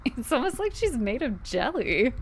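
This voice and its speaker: A woman's voice: a little high, big pitch swings, normal speed, low-quality recording. A woman playing a confident, loyal young superhero in an audio drama. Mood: smug. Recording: faint background noise and ambience.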